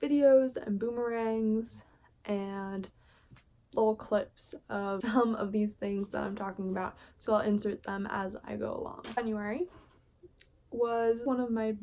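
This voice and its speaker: A woman's voice: medium pitch, steady tone, measured pace, dark tone. A woman in her twenties chatting casually in a vlog, with frequent filler words. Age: 20s. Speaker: vlogger.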